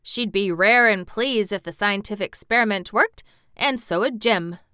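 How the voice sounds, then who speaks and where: A grumbling old gardener with a broad way of talking, as a woman reads him aloud. His testy rustic speech rides on a bright, very crisp tone. The sound is dry and close, with no room around it. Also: mid-range voice, normal speed, no echo, lightly acted. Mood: admiring. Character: gruff old male gardener, dialect, testy.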